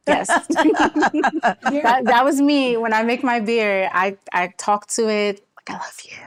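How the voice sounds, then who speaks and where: A woman's voice: on the high side, steady tone, takes it slowly, dry recording, clean studio sound. A young woman chatting casually as an interview guest, a brewer talking about her craft. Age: young adult.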